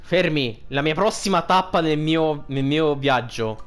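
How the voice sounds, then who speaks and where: A man's voice: a little high, unhurried. A man commenting casually on a game, colloquial and prone to swearing as he reacts. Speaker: gaming video host. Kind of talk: casual game playthrough commentary, translating dialogue. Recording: game music behind the voice. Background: game music and dialogue.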